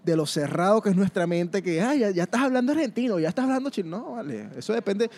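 A Venezuelan man with a notably high voice, hosting a relaxed question game. He talks in an animated, joking way, often stumbling over and repeating his words. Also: quick pace. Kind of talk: casual question game chat.

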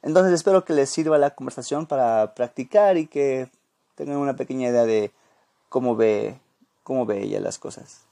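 Man, Mexican accent, medium-pitched voice, chatty podcast host, informal interviewing style. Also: moderate pace, creaky, dark tone. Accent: Mexican Spanish. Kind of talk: casual podcast talk and interview.

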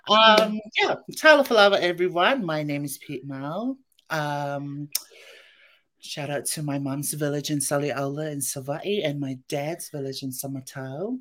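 A woman's voice: low voice, unhurried, a bit bright. A woman from Samoa, in her late twenties, chats as a guest on a podcast. She is bubbly and playful, laughs easily, and talks about her own life in an easygoing way. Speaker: actress and guest. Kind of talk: interview chat.

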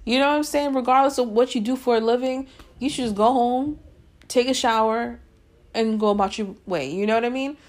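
A woman's voice: on the high side, a little fast. A young woman of Guyanese and Puerto Rican family, hosting a podcast and telling a personal story in a casual, exasperated way full of fillers. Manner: conversational, frequent fillers, exasperated asides. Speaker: podcast host.

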